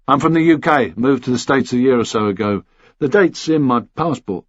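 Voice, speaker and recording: A man's voice: medium pitch, normal speed, no room sound, dull audio, lightly acted. A man voicing a wary British man in an audiobook, offhand and evasive under questioning.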